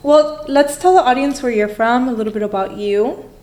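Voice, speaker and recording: A woman's voice: fairly high pitch, normal speed, darkish tone. A woman in her twenties hosting a casual podcast in a bubbly, informal, teasing way. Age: 20s. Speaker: podcast host.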